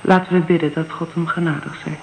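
A woman's voice: sits low, level tone, quick pace, creaky, a little hiss or hum, low-quality recording. An actress playing an earnest, candid young divorced mother in a Dutch radio drama. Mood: wistful.

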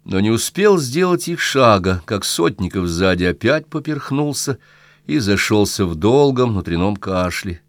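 A man reads a literary audiobook in a fairly low voice. The recording is dry, with very little room sound. He delivers scripted prose as a narrator telling a story. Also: very animated, moderate pace, no echo.

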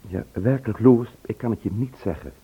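An actor playing a sensible, reluctant friend in a Dutch radio play, down-to-earth in manner. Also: male voice, lowish voice, fairly quick. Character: sensible, reluctant male friend, about to go on holiday. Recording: husky, dull old radio recording.

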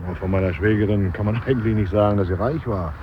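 A man's voice: fairly low pitch, fast, close to an everyday voice. An actor playing a blunt, opinionated funeral mourner who chats and breaks off his sentences, in a naturalistic radio play. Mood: musing. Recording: dull 1970s radio, faint outdoor noise.

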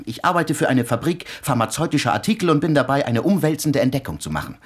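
An actor playing an irritable, secretive researcher in a radio play, husky-voiced, defensive and snappish. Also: male voice, medium pitch, very animated, fast talker. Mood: angry, defensive. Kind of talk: German radio play acting.

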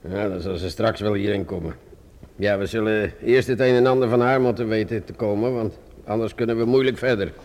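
An actor playing a weary, grumbling, sarcastic police inspector in a radio play, with a hesitant, muttering manner. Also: male voice, fairly low pitch, fairly quick, a little mellow, natural voice. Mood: businesslike. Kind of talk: Dutch radio drama acting.

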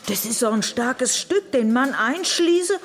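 A woman's voice: high voice, expressive, a little slow, exaggerated voice. An actress playing an indignant gossiping woman with a Hessian accent in a radio drama.

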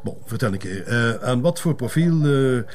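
A man's voice: mid-range voice, expressive, moderate pace, a little mellow, close to an everyday voice. A man playing a harried, evasive police chief in a crime drama, with a Flemish Belgian accent and a hesitant, blustering, placating manner. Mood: officious. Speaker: police commissioner. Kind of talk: naturalistic TV crime drama acting.

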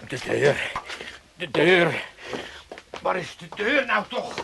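Creaky, grumbling old man. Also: high-pitched, big pitch swings, slow pace, background noise, exaggerated voice. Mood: aggrieved. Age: elderly. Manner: moaning, muttering complaints and oaths. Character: grumbling, self-pitying old Amsterdam father, locked away. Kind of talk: comic radio play acting.

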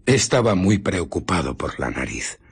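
A man with a Castilian Spanish accent playing an embittered, vengeful invisible scientist in a radio drama, brooding theatrically with pauses and breathy murmurs. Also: low voice, big pitch swings, exaggerated voice. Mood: intent, secretive.

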